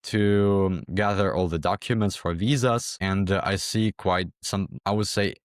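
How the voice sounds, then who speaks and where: Low-voiced young man from Ukraine. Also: fairly even intonation, unhurried, clean tone, no echo, studio quality. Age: young adult. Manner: hesitant, repeats words, many fillers. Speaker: interview guest, former exam candidate. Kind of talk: casual interview talk.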